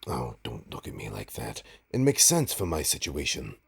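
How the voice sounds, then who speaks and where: Man playing a very deep, gravelly suave supervillain. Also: wildly swooping pitch, exaggerated voice. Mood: haughty, evasive. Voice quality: gravelly, husky. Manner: drawling, pompous, mock-polite with dramatic pauses. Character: suave theatrical male supervillain, smug, teasing, flirting with his nemesis. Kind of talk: audio roleplay monologue addressed to listener.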